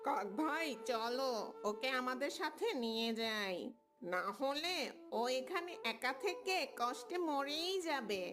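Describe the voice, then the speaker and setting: A woman voicing a gentle, pitying bird friend in a children's cartoon. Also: high-pitched, animated, slow pace, soft background music, full character voice.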